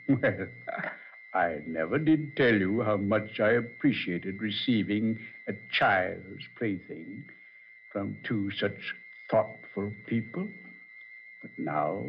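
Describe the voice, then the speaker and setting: A deep-voiced old man speaks slowly, with mocking courtesy and quiet menace, as if back from the grave. It is 1940s radio horror, muffled and hissy. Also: animated, gravelly, exaggerated voice. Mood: mocking, sinister. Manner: slow, sinister, mock-courteous, chuckling.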